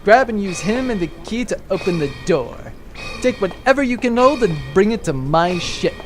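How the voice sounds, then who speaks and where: A man's voice: sits high, sing-song, normal speed. Man playing a sardonic space villain. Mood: scheming. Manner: dry, long-winded, sardonic. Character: scheming, dry-witted male space villain. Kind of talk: comedic audio drama. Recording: music playing underneath.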